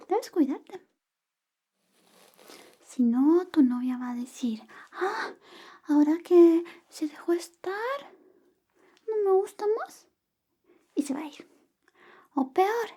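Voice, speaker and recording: A woman playing an affectionate, teasing young best friend secretly in love, in a soft, breathy, whispered ASMR roleplay. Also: sits high. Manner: slow, coaxing, intimate, playfully teasing. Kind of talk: ASMR personal-attention roleplay.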